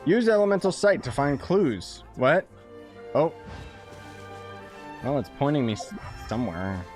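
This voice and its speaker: A man's voice: fairly high pitch, big pitch swings, a little slow, darkish tone. A young man giving casual, slangy live gameplay commentary, with a joking, sarcastic manner. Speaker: game streamer. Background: faint game music.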